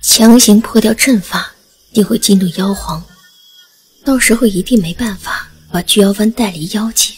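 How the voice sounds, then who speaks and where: A woman voicing a sly, bold woman immortal in disguise, with husky, half-whispered musing. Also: medium pitch, normal speed, voice pushed up. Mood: pondering.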